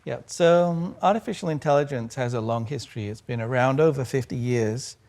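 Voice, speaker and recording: A man's voice: mid-range voice, fairly quick, a little mellow. Man, professor, panel guest, plain, explanatory. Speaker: computing professor.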